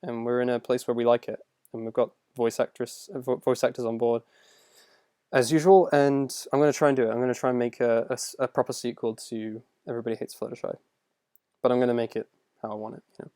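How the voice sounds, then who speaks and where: A man's voice: medium pitch, level tone, fast talker, mellow, clear, resonant tone, dry recording. Young man, close dry sound. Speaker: animator and video creator answering viewer questions. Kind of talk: casual livestream Q&A, reading questions aloud.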